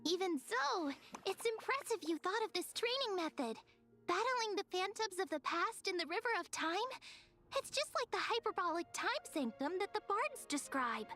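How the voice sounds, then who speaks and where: A woman's voice: very high voice, sing-song, normal speed, pushed and bright, soft background music, exaggerated voice. A woman voicing a tiny, sweet, childlike female companion in a video game, caring and perky, with cute earnest phrasing. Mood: impressed. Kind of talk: English video game voice acting.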